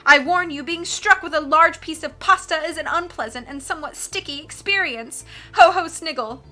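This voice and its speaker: A wicked villain bellows at weary children and cackles at his own schemes, voiced by a woman reading a storybook. The voice is bright and high, with music playing softly behind. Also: moderate pace. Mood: menacing. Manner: shouting, sneering, cackling. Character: wicked vain villain man shouting at children.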